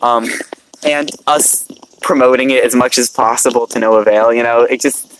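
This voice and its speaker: A man's voice: medium pitch, fairly even intonation, moderate pace, a little hiss or hum, low-quality recording. Joking young man on a front lawn. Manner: joking, rambling, laughs often. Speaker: podcast co-host. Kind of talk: casual chat.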